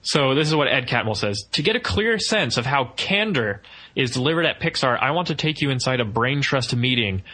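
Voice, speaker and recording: Bright-voiced man hosting a podcast. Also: mid-range voice, animated, fairly quick. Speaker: podcast host. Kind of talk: podcast discussion and book reading aloud.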